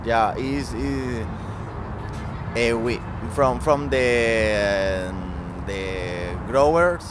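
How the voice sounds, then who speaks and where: Slow halting man with Chilean accent. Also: mid-range voice, noisy background. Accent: Chilean Spanish. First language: Spanish. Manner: halting English with many restarts and fillers. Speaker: guest. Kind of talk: interview.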